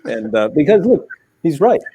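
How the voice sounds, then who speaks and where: A man's voice: on the high side, sing-song, normal speed, mellow, slight background noise, rough recording. A man who works as an attorney, a radio guest telling stories with enthusiasm. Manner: enthusiastic, storytelling. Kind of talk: casual radio chat.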